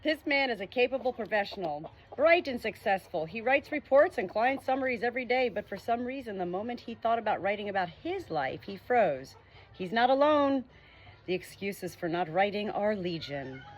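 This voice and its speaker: A woman reading a nonfiction book chapter aloud as an audiobook, with earnest, expressive emphasis. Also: medium pitch, normal speed, rough recording. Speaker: audiobook reader.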